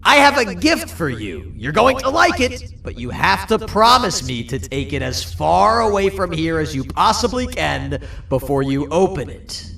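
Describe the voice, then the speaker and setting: A man playing a melodramatic, scheming trickster in a video game, with theatrical, drawn-out delivery. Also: sits high, very animated, vocal fry, bright, forceful tone, slight background noise, heavily acted. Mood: sly. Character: melodramatic, scheming, vengeful trickster putting on a stage play. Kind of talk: video game character acting. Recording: echoey, distant from the mic.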